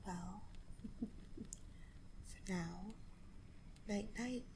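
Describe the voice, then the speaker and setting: A woman's voice: mid-range voice, very slow, darkish tone, a little hiss or hum. A woman who makes ASMR videos, whispering her thoughts on a fairy tale and speaking straight to the listener. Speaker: ASMR creator. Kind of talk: whispered ASMR story commentary.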